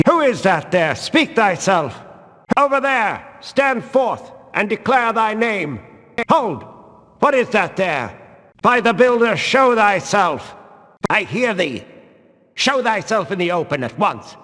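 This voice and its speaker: A fanatical religious guard from a video game, barking archaic commands at thieves. His voice sits high and rings out slowly in a long hall reverb, stern and combative. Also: sing-song, fairly bright tone, exaggerated voice. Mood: suspicious, alert. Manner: declamatory, archaic, commanding speech. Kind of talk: video game voice acting, archaic battle barks.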